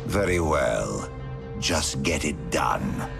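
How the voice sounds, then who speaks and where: An actor playing a haughty, irritable villainous mad doctor in an animated video game, pompous and deliberate. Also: male voice, a bass voice, vocal fry, over music, full character voice. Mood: stern, measured.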